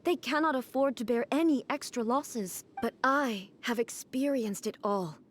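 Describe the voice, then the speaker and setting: A woman playing an aloof, solemn immortal ruler in a video game, speaking in a formal, measured, reserved way. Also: on the high side, slight background noise, natural voice. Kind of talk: video game cutscene acting.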